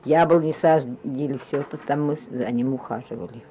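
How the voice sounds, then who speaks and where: A woman's voice: very deep voice, warm tone, husky. Hesitant old village woman, lo-fi. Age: older. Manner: shy and hesitant, full of sighs and short halting answers. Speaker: interviewee, former stable hand. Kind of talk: hesitant spoken memories. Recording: lo-fi field recording.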